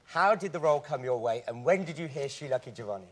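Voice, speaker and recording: A man hosting a lively live show on stage in front of an audience. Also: medium pitch, fast. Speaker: host. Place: theatre stage before an audience. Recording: music and clear crowd noise behind.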